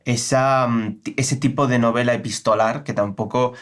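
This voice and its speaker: A man's voice: mid-range voice, steady tone, moderate pace, fairly bright tone. A man with an accent from Spain chatting casually about books as a co-host, hesitating and repeating phrases for emphasis as he searches for words. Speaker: co-host of a bookshop's book channel. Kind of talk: casual book discussion.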